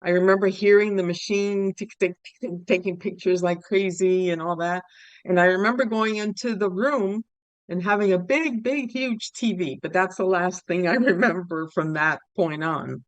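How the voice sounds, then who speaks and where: A woman's voice: lowish voice, moderate pace, no room sound, highs cut off. A talkative woman from Cuba, a guest casually retelling a personal experience with repeated words for emphasis. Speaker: stroke survivor guest.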